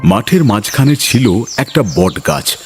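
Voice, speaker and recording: A man's voice: on the low side, big pitch swings, unhurried. A man narrating a scripted children's story as a storyteller. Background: loud music.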